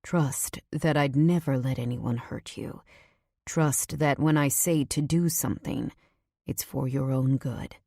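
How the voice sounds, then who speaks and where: Casting: female reader as commanding, protective male romance lead. Low, soft-edged, serious, clipped manner, audiobook delivery. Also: a little slow, gravelly, dry recording, studio quality, exaggerated voice. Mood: intense, protective.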